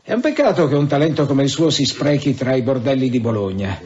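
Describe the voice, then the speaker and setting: An actor in an Italian radio drama playing a sardonic senior police official who questions in a measured, probing way. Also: male voice, medium pitch, lively intonation, a little mellow, lo-fi sound, lightly acted. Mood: dry, insinuating.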